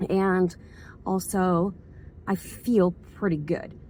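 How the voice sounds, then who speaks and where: Hoarse, rambling woman vlogger. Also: lowish voice, fairly even intonation, slow pace, darkish tone, a little hiss or hum. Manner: chatty and rambling, circles back to restate things. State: hoarse from a cold, slight sore throat. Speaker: vlogger, school staff member. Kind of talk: casual rambling vlog chat.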